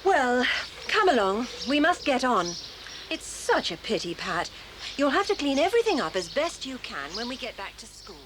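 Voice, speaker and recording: A brisk, sensible English schoolmistress in a children's audio drama. Her intonation is very varied, and the old recording has clear hiss. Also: high voice, very animated. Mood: brisk, sympathetic.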